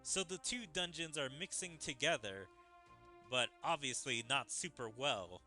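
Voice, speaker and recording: A man gives relaxed, off-the-cuff game commentary. He rambles and speculates as he goes, in a bright voice, with music playing underneath. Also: medium pitch, unhurried. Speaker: gaming streamer. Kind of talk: casual let's-play commentary between read-aloud dialogue.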